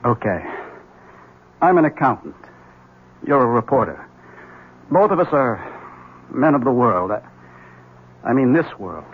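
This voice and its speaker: An actor playing a precise, eerily earnest jealous husband, deliberate and halting, in a 1970s radio drama. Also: male voice, mid-range voice, big pitch swings. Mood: halting, grave. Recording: muffled, faintly noisy old radio recording.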